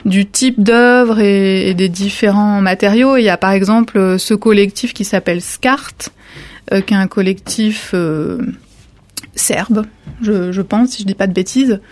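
A woman's voice: medium pitch, measured pace, darkish tone. A woman who works at an art gallery's reception, explaining exhibitions in a casual conversation with many fillers. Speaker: museum visitor services staff.

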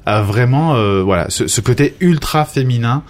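A man's voice: medium pitch, lively intonation, normal speed. Man hesitant, searching for his words. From Portugal. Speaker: interviewer. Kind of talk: casual conversation.

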